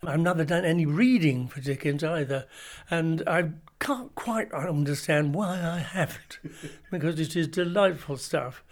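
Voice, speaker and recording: A man's voice: fairly high pitch, measured pace. A man, an actor, talking reflectively in an interview, considered and articulate.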